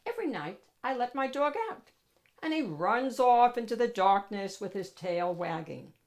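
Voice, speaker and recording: A woman reads a children's picture book aloud as host, with expressive, dramatic emphasis. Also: medium pitch, normal speed. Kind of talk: animated storybook narration for children.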